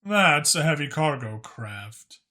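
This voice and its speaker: A man voicing a composed, authoritative dragon spymaster in an audiobook, measured, formal and a little wry as he explains things. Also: fairly high pitch, big pitch swings, bright, forceful tone, full character voice. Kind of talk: audiobook character acting.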